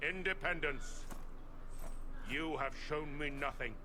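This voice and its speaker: A man's voice: a little high, slow, background noise. A man voicing a haughty leader of a holy order in a video game, declaiming with cold arrogance. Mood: scornful. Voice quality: proud, declaiming.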